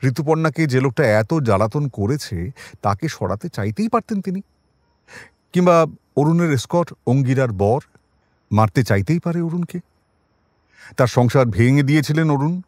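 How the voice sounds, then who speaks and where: An actor playing a thoughtful, unhurried detective in an audio drama, musing and explaining deliberately. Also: male voice, medium pitch, animated, darkish tone, no echo, clean studio sound, close to an everyday voice. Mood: reasoning. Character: thoughtful, unhurried male detective reasoning through suspects.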